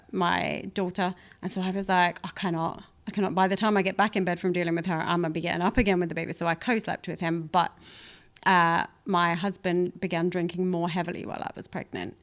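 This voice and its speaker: Woman, 30s, podcast guest, low-ish voice, reflective and chatty, laughs a lot. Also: flat delivery, a little fast. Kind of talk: candid conversational interview.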